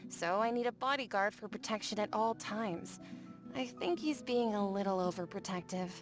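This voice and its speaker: A woman's voice: mid-range voice, normal speed, music in the background, lightly acted. A woman voicing a polite, earnest, independent educator in a video game, apologetic and mildly put-upon. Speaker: game character voice actor. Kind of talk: voiced game dialogue.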